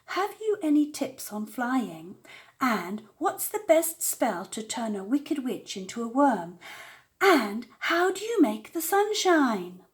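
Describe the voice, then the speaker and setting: A woman voicing a bold, eager little witch girl in an expressive, earnest storybook read-aloud. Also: a little high, pitched above the performer's own voice. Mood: eager, polite. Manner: deliberate, expressive storybook delivery.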